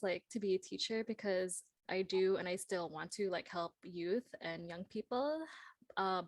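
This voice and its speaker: A woman's voice: mid-range voice, no echo. A young woman speaking as a guest on a casual panel, in informal phrasing full of filler words. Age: young adult. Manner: informal, peppers speech with "like". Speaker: guest speaker, social worker.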